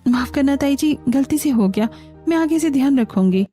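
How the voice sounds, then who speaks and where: A woman's voice: high-pitched, animated, moderate pace, dark tone, soft background music. A woman voicing a dutiful, put-upon young daughter-in-law in a cartoon story, meek and polite. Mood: apologetic.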